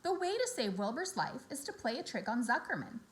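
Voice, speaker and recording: A woman's voice: a little high, sing-song, a little fast. A woman voicing a clever, self-assured female spider who schemes fondly in a storybook. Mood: confident, musing.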